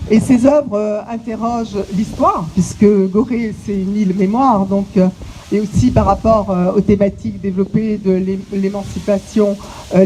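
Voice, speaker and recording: A woman from French Guiana gives an opening speech outdoors, at a middling pitch. She pauses often, fills gaps with hesitations and restarts her phrases. The recording carries clear background noise and clicks. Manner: halting, many hesitations and restarts. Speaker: exhibition curator. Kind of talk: inaugural speech to visitors. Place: outdoors on an island site.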